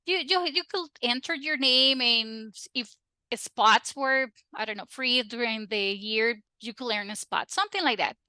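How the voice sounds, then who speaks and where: Bright-voiced woman, chatty. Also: a little high, normal speed, dry recording. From Costa Rica. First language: Spanish. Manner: chatty and animated, frequent restarts and laughs. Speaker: guest. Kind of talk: casual interview chat.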